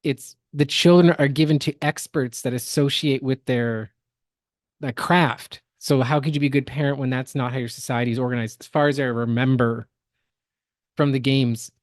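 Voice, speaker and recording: A man's voice: mid-range voice, steady tone, moderate pace, no room sound. Analytical man giving dry-room podcast commentary. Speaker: podcast co-host reacting to game cutscenes. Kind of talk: casual critical commentary.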